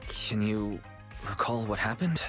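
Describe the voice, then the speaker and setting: A caring young man from a video game, protective and gently worried. His voice is calm, with faint music behind. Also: lowish voice, unhurried.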